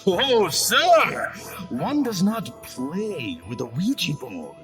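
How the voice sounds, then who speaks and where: A pompous, archly polite spirit who has taken over a body, swoops dramatically from line to line. The delivery is slow and theatrical with a menacing edge, in a podcast horror skit with loud music underneath. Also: male voice, high voice, wildly swooping pitch, voice pushed up, full character voice. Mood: offended, menacing. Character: genteel, theatrical male spirit possessing a man, menacing.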